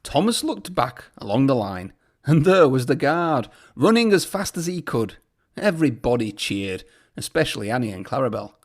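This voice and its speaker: A man's voice: mid-range voice, sing-song, moderate pace. A man narrating a children's storybook aloud as a storyteller.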